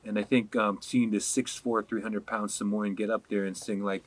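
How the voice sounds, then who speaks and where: A man from Hawaii, a podcast guest, chatting casually and telling animated stories, laughing often. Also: on the low side, normal speed. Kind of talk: casual interview chat.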